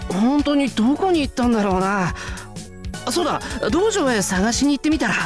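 An earnest teenage boy from an anime game. His delivery is animated and awkward, as if forever dodging a question. Music is clearly heard underneath. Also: very high voice, brisk. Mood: evasive. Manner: awkward, evasive. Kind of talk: Japanese anime-style game voice acting. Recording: loud background music under voice.

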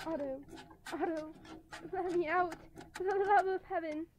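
Woman as a high-voiced, desperate young maiden. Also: steady tone, measured pace, noisy background. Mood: frightened, pleading. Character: desperate captive young maiden, frightened but brave. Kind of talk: old-fashioned radio drama acting. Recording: dull, muffled lo-fi sound.

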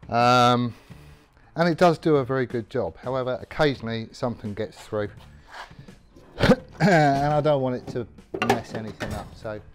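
A man's voice: mid-range voice, moderate pace, faint music behind. A middle-aged man casually explaining his tool care while working in a garage workshop, rambling and matter-of-fact with many ums. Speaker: workshop vlogger.

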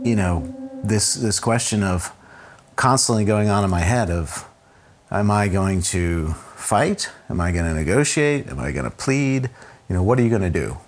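A man telling the story of his own kidnapping in a conversation, halting and repeating himself with many fillers as he thinks aloud. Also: lowish voice, lively intonation. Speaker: interview guest recounting a kidnapping.

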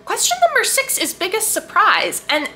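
Lively, bright-voiced woman chatting enthusiastically. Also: very high-pitched, slight background noise. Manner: enthusiastic, gushing with emphatic drawn-out words. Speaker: book vlogger and host. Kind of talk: casual chat to camera about books.

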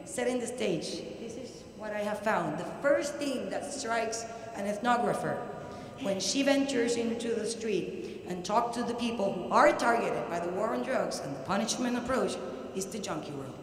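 A middle-aged woman with a Puerto Rican accent giving an academic lecture at a podium, halting but emphatic. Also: mid-range voice, lively intonation. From Puerto Rico. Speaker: researcher presenting at an academic conference. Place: large indoor university hall, at a podium. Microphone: podium microphone. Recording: very echoey hall, audible background noise.

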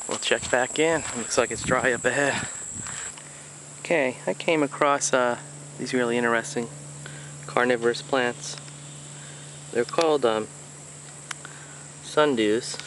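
A man talks casually while he walks through a wetland, relaxed and chatty, with plenty of ums and uhs along the way. His voice sits in an ordinary middle range. The camera mic picks up clear insect and cricket noise from the open outdoors around him. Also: mid-range voice, a little slow, pauses often, poor audio. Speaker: hiker filming his walk. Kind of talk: casual narrated hike vlog. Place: outdoors in a swampy Florida wetland. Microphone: handheld or body camera, unseen speaker. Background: insects and crickets in open nature.

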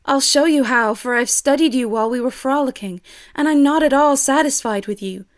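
A woman's voice: high voice, brisk, close to an everyday voice. An actress playing a proud, outspoken, ambitious young lady in an audio drama. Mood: indignant. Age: young adult.

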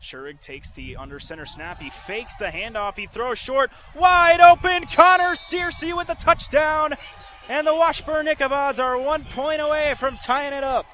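A man doing excitable live radio play-by-play of a football game, building from steady calls to shouts. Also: very high-pitched, moderate pace. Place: outdoor football stadium. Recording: noisy live outdoor stadium broadcast.

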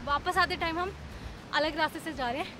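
A woman's voice: very high voice, brisk, background noise. A young woman, making brief, casual remarks in a travel vlog on a forest trail. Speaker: travel vlogger. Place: outdoors on a forest canyon trail.

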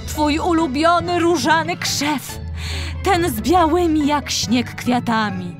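A woman's voice: sits high, voice pushed up. Lady doing a moaning palace gardener guy, drags words out, fairy tale with music under. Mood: wailing, distressed. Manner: lamenting, drawn-out. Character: wailing, loyal male royal gardener, grumbling and distressed.

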